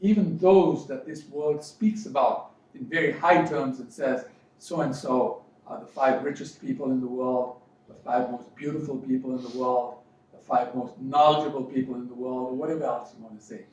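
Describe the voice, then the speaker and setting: Male motivational spiritual coach from Fiji, deliberate preaching delivery with emphatic repeats; heavily reverberant room, distant mic. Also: mid-range voice, steady tone, darkish tone. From Fiji, educated in New Zealand. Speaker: life coach and spiritual speaker. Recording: very echoey room, distant mic, dull sound, faint noise.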